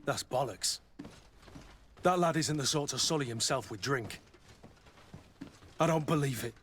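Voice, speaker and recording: A man voicing a gruff, loyal mercenary captain in a video game, speaking bluntly and dryly. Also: medium pitch, unhurried, fairly bright tone, slight background noise, close to an everyday voice. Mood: blunt, troubled.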